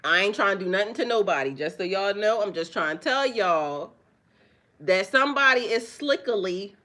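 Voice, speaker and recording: A loud, animated woman leads her own spiritual reading vlog. She talks directly to her viewers, swears freely, and breaks into laughter often. Her voice sits at an ordinary middle pitch. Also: normal speed. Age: adult with children. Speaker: spiritual reader and channel host.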